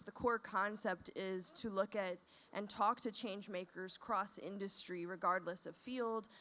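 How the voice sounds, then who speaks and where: A woman with a mid-range voice speaking on a panel. She is reflective and explains her ideas at length, in a steady conversational flow. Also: mid-range voice. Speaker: podcast co-host on a panel. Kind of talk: panel discussion.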